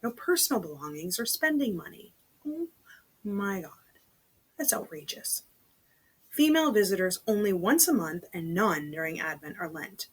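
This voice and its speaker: A woman's voice: mid-range voice, lively intonation, normal speed, slightly rough. A woman reading a novel aloud as a storytelling host, chatty, dropping into casual muttered asides. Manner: chatty, breaks off to comment in undertones.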